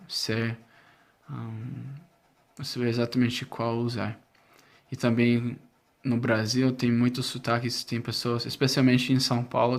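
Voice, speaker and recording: Flat-toned American man, hesitant. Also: medium pitch. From United States. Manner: careful, hesitant. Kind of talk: casual testimonial.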